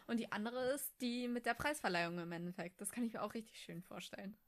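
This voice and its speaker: A woman's voice: mid-range voice, fast talker. A woman co-hosting a podcast in a casual, conversational style. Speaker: podcast co-host. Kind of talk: casual chat.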